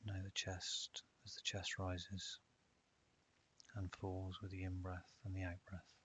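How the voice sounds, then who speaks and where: Deep, even-voiced man guiding meditation. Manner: calm, measured, with long pauses. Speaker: meditation guide. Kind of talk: guided meditation narration. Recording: dull lo-fi audio with hiss.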